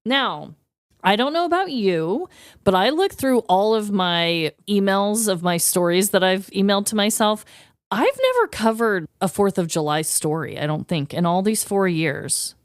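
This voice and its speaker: A woman's voice: medium pitch, lively intonation, normal speed, smooth, no echo, clean studio sound. An American woman co-hosting a podcast, moving between casual banter and scripted comedic storytelling. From United States. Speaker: podcast co-host.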